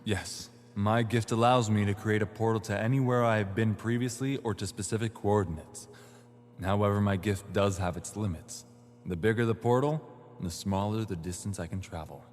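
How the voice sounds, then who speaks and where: A man's voice: fairly low pitch, close to an everyday voice. Guy acting a polite formal evaluator dude in his 20s, audio drama, big echoey reverb, bit of hum. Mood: matter-of-fact. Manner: formal, measured, polite wording. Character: formal, composed male evaluator in his late twenties with portal powers. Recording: long hall reverb, noisy hum.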